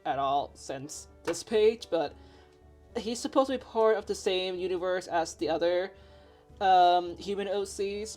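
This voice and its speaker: A woman's voice: on the low side, a little mellow. A young woman with a Finnish accent, chatting casually in a bubbly, giggly, rambling way as she shows her sketchbook. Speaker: artist showing her sketchbook. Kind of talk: casual voice-over chat. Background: faint background music.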